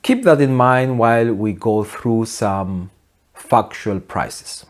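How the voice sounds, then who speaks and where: A man in his forties giving an opinionated video essay to camera in a deadpan, wry manner. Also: lowish voice, slow. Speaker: host of a music production video channel. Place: small home studio, indoors.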